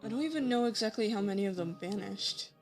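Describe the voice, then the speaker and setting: Woman as serious young child, audio drama, earnest, plain delivery, middle pitch, music clearly underneath. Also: expressive, quick pace, close to an everyday voice.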